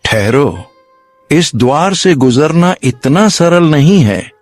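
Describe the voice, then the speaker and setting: A man's voice: fairly high pitch, lively intonation, takes it slowly, faint music behind. A man playing a stern, ancient magical tree in a cartoon story, solemn and commanding. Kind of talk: Hindi cartoon moral-story dialogue.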